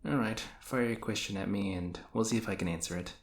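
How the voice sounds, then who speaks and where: A man's voice: on the low side, fairly quick, echoey room, close to an everyday voice. A man playing an exasperated, sarcastic young hero who banters and teases his frenemy in an audio roleplay. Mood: teasing, conversational. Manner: ranting, sarcastic, teasing. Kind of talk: scripted audio roleplay acting addressed to the listener.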